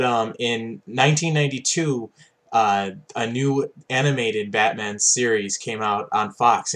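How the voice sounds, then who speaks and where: A man's voice: lowish voice, a bit bright. A man in his twenties hosting a casual video review, rambling with frequent ums and restarts. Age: 20s. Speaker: channel host, reviewer.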